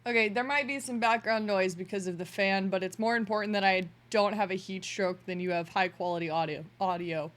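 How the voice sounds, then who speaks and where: A hoarse woman streamer chatting casually to her livestream in a chatty, self-deprecating way from a room at home. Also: medium pitch, a little fast, hardly any pauses.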